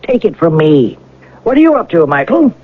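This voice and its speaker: High-voiced, husky, blustery bank president. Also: male voice, very animated, normal speed. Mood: eager, blustery. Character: fussy, hesitant bank president, a self-important older businessman. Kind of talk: 1980 radio drama acting. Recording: thin, muffled old radio broadcast.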